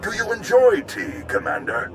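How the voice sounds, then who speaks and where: Genteel military overseer, swooping intonation. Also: male voice, high-pitched, huge pitch swings, normal speed, vocal fry, over music, exaggerated voice. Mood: courteous, effusive. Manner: genteel, formal, effusive. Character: courteous, tea-loving male Republic military overseer. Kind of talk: video game cutscene acting.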